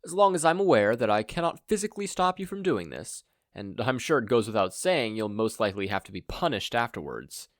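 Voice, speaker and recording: Reader acting older male mentor, fatherly, earnest, formal, emphatic, animated intonation. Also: mid-range voice, a little fast, few pauses, no echo, clean studio sound, natural voice. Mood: grave, concerned.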